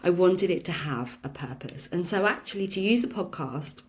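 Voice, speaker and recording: A woman hosting a solo podcast, talking in a chatty, reflective way with lots of "um"s and a slightly croaky voice. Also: lowish voice, animated, moderate pace. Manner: chatty and reflective, many "um" fillers, laughs now and then. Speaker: podcast host.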